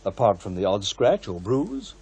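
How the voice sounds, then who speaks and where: An actor playing a frail, proud, elderly professor with a dry wit in a radio drama. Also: male voice, on the low side, huge pitch swings, moderate pace, creaky, darkish tone, breathy edge, full character voice. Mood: measured, assured. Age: older. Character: frail elderly male professor, proud, wry, stubborn paranormal investigator.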